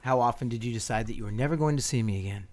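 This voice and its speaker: A man's voice: mid-range voice, racing, a little mellow, lightly acted. An actor playing an ardent, insistent man in forbidden love in a radio play, speaking earnestly and tenderly. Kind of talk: radio play romantic acting.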